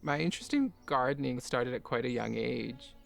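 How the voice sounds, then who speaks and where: A man who grew up in Kuwait, a garden owner and artist, talking in a soft-spoken, musing way with light laughs. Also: medium pitch, normal speed, vocal fry, soft background music. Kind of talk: reflective personal talk for a garden video.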